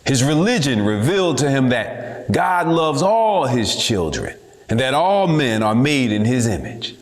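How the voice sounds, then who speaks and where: A man giving a dramatic reading of a historical speech in a measured, deliberate oratorical manner. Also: mid-range voice, sing-song. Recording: echoey room.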